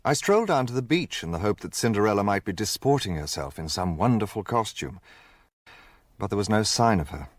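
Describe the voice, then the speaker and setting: Husky, lively English gentleman sidekick. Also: fairly low pitch, brisk, no echo, studio quality. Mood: rueful. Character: earnest, naive English gentleman, detective's loyal companion. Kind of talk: radio drama dialogue and first-person narration.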